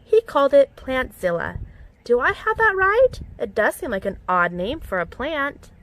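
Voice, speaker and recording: A woman reading a children's picture book aloud in an expressive, animated storytelling manner with clear enunciation. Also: sits high, moderate pace. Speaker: storytime reader. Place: outdoors among plants.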